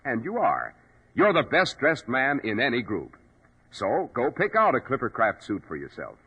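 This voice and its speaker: An American man works as a vintage radio announcer. His delivery swings widely in pitch, with a husky edge. The sound is dull and old. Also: wildly swooping pitch, moderate pace.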